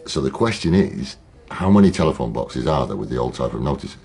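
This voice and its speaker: A gruff male police detective from a radio drama. His voice sits very low, full of gravel and heavily husky. He probes and presses in a teasing, persistent way. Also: big pitch swings, a little fast, darkish tone, exaggerated voice. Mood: insistent.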